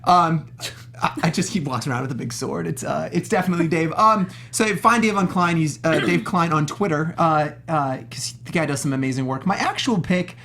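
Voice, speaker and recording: A man's voice: fairly high pitch, brisk, gravelly, a bit bright. A man chatting casually as co-host of a film review panel, using informal slang. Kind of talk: casual chat.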